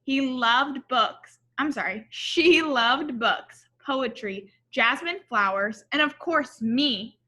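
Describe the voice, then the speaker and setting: A woman voicing a loving father who tells family stories in a storybook, with gentle, measured delivery. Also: high voice, exaggerated voice. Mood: fond, reflective.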